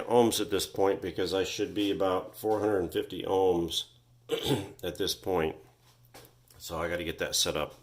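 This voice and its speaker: A man casually walking through a repair at his home workbench, thinking aloud with frequent pauses and ums, recorded on a phone on a tripod. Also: sits low, vocal fry, slight background noise. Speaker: vintage electronics repair host. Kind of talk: casual workshop walkthrough. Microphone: phone camera on a tripod.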